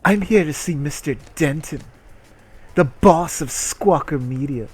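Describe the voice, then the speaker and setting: A man's voice: a little high, measured pace, darkish tone, faint music behind, natural voice. A man voicing a polite, firm and earnest female employee in a story reading. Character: assertive female employee asking her boss for promotion.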